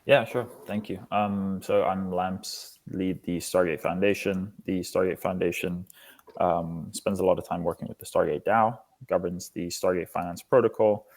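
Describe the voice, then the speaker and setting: A man's voice: deep voice, steady tone, moderate pace, darkish tone. A man in his twenties from Singapore, explaining his work as an interview guest. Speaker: interview guest, foundation lead. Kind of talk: interview answers, explanatory talk.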